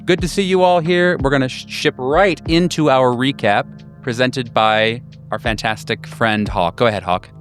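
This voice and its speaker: A man's voice: medium pitch, normal speed, faint music behind. A man hosting and narrating a horror tabletop roleplaying game as game master.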